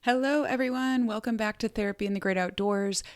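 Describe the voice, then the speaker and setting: A woman in her forties hosting a podcast, upbeat and chatty, her voice still hoarse from being sick. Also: medium pitch, brisk, music in the background. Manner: upbeat, chatty, self-promoting. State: hoarse after illness. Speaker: podcast host. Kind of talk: podcast intro and promo.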